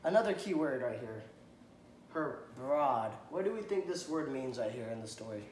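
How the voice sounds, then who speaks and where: A man reading a children's picture book aloud to a child, stopping to point at the pictures and ask questions, and often clearing his throat. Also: mid-range voice, expressive, normal speed, a little mellow. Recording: echoey room, faint background noise.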